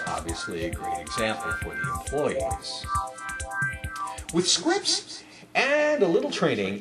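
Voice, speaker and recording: A man presenting a scripted instructional guide in an emphatic, persuasive and carefully enunciated way. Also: mid-range voice. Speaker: presenter of a retail announcing guide.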